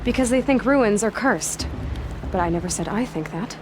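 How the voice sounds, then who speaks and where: An actress playing a determined young outcast hunter who asks direct, probing questions. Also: female voice, mid-range voice, lively intonation, normal speed, music in the background, natural voice. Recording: game audio with ambient noise.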